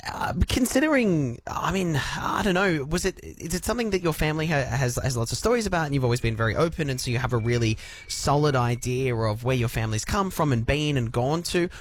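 A man's voice: medium pitch, animated, fairly quick, few pauses. A man hosting a live radio show and interviewing a guest in a chatty presenter style. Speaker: radio host.

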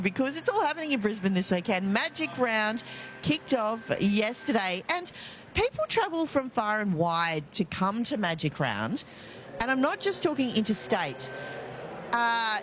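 A woman with an Australian accent hosting live talkback radio outdoors, chatty and self-mocking, laughing easily. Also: mid-range voice. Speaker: radio host. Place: outdoors outside a stadium, live broadcast. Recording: faint outdoor background noise. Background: faint ambient noise.

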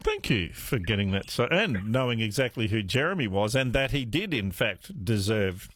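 A man hosting a talkback radio quiz, chatty and quick to laugh. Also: fairly low pitch, no room sound. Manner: animated, chatty, laughing easily. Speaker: radio host.

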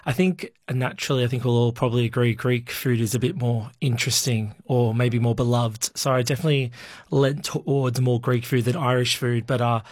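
A man's voice: medium pitch, fairly even intonation, brisk, dry recording, studio quality. A man chatting casually as a radio interview guest, easygoing and self-deprecating. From Australia.

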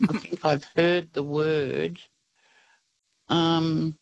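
A woman in her seventies calling in to a radio show, speaking slowly and deliberately. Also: low-pitched, level tone, gravelly, darkish tone, lo-fi sound. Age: 70s. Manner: slow, deliberate speech. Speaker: caller. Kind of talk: phone-in radio chat.

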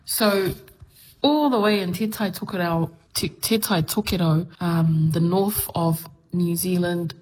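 A woman's voice: fairly low pitch, a little slow, a little mellow, low-quality recording. Conversational woman radio host. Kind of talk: radio presenting and interviewing.

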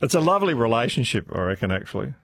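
A man's voice: medium pitch, very animated, very fast, gravelly, a bit bright, dry recording. A man hosting a talkback radio show, reading listener messages in a friendly, conversational way. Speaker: radio host. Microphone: studio microphone.

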